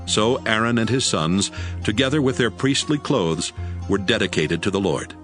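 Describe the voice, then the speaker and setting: A man reading scripted scripture narration for an audiobook in a measured, solemn way. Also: fairly low pitch, animated. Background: music.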